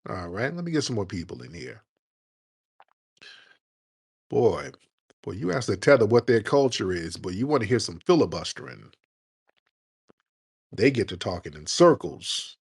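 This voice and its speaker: A man's voice: lowish voice, very animated, moderate pace, creaky, pauses often, breathy edge. A man hosting a live call-in stream, mocking and combative, tacking a pointed "sir" onto his jabs. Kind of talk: confrontational call-in show.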